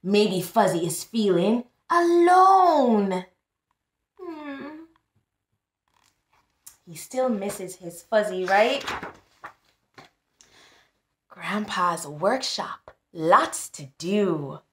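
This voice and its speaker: A woman in her thirties reading a picture book aloud to young children as a tutor, expressive and deliberate, often prompting with questions, recorded in a small room. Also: medium pitch, roomy sound. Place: small plain-walled room indoors.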